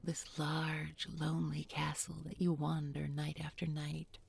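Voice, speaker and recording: A woman's voice: sits low, completely flat delivery, creaky, a little mellow, breathy edge, exaggerated voice. A woman playing a bookish village beauty turned sly, menacing captor in an intimate audio roleplay, speaking with mock tenderness and often dropping to a whisper. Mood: wistful, musing. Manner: deliberate, coaxing, mock-tender with pointed irony. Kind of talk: intimate audio roleplay spoken to one listener.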